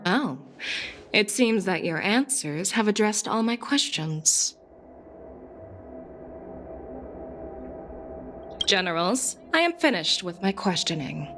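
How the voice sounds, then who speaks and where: An actress playing a shrewd, confident female general in a video game, questioning formally and deliberately. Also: medium pitch, expressive, a bit bright, a little hiss or hum. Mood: probing, composed. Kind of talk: video game story dialogue. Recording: background music under the voice.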